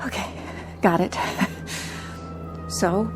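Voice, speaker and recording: An actress playing an anxious, eager flight attendant in a radio drama, with a nervous, questioning way of talking. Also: female voice, mid-range voice, steady tone, drawn-out words, over music.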